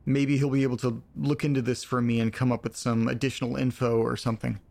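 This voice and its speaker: Man acting as an uneasy, gravelly-edged letter reader. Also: medium pitch, little pitch movement, quick pace, darkish tone, natural voice. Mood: shaken, curious. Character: troubled man reading strange letters aloud, profane and uneasy. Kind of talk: audio drama narration.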